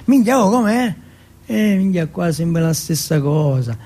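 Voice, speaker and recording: A man voicing an excitable, streetwise teenage boy in a stage monologue, slangy, insistent and full of swearing. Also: sits high, a little slow, full character voice. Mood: swaggering, animated. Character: excitable, foul-mouthed streetwise teenage boy from housing projects.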